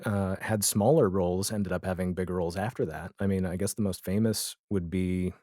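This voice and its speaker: Low-voiced man chatting, close dry sound. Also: fairly even intonation, normal speed, mellow, dry recording. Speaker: podcast guest. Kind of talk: casual chat.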